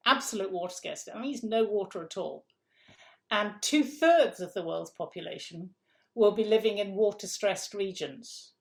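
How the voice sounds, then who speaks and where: A middle-aged woman from England talks in an interview with a gravelly edge to her voice. Her pitch sits in the middle range, and she makes her points emphatically, repeating phrases and posing rhetorical questions. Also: normal speed. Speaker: food policy guest. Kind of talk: conversational interview answer.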